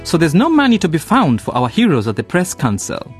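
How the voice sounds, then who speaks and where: A man narrates a scripted radio programme, speaking to listeners. His voice is a little high and very animated, with light guitar music behind. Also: fairly quick. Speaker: narrator and presenter.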